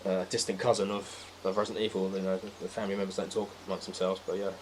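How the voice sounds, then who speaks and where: A man's voice: sits low, little pitch movement, rapid-fire. A man chatting casually about films and games as a guest on a podcast. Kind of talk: casual film and game chat. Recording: audible background noise.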